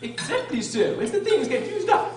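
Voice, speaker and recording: A woman's voice: high voice, very animated, normal speed. A woman playing a rude, eccentric male tea-party host in a theatrical radio drama style. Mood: wistful. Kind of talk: stage radio drama acting. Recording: echoey hall, distant mic, clattering noise.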